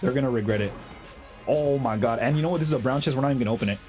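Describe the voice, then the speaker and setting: A young man with a slight cold, chatting excitedly over his own gameplay as the host of a gaming channel, full of slang and fillers. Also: mid-range voice, racing, faint music behind. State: has a cold, slightly hoarse. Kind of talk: casual gameplay commentary.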